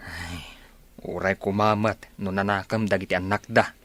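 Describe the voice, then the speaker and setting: Low-voiced, sympathetic village husband. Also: male voice, steady tone, moderate pace, a bit bright. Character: sympathetic village husband discussing neighbours' troubles. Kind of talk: Filipino radio drama acting.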